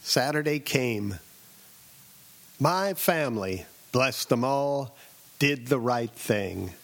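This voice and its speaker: A middle-aged man from Southern California narrating a scripted personal story as host, with a wry, deadpan delivery and dramatic pauses. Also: medium pitch. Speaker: radio essayist and host.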